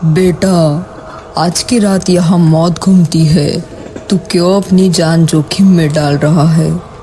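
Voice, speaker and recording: A man's voice: a little high, steady tone, slow pace, dark tone, slight background noise. A man voicing a mysterious forest holy man in a horror cartoon, solemn and foreboding. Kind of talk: Hindi horror cartoon story dialogue.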